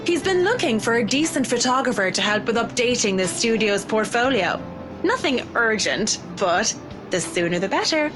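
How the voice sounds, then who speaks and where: Professional female designer, composed, highish expressive voice, visual novel game, music underneath. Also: normal speed. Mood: poised, friendly. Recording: music behind the voice.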